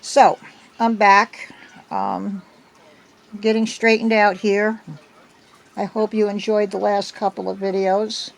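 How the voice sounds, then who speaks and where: An older woman with a slightly hoarse voice from a cold, chatting at home into her phone in a meandering way, with many ums. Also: medium pitch, little pitch movement, slow, pauses often, rough recording. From United States. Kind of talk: casual chat. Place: at home indoors.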